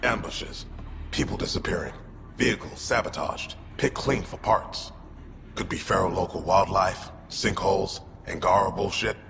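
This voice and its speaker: A man playing a gruff, pragmatic outlaw lieutenant in a video game, gravelly and terse. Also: low-pitched, normal speed. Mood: terse, persuading. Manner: terse, clipped, matter-of-fact. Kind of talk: video game cutscene acting. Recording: long reverb, clear background noise.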